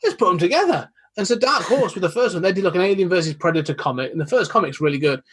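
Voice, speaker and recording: A man's voice: high voice, expressive, racing, breathy edge. A young man, a podcast guest, talking eagerly and rambling with lots of restarts. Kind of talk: casual podcast chat.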